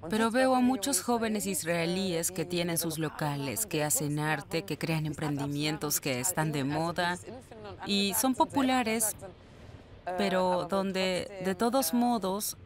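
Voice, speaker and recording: A woman voicing a traveler's personal reflections for a documentary dub, at times halting. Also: fairly low pitch, a crowd in the background. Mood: reflective.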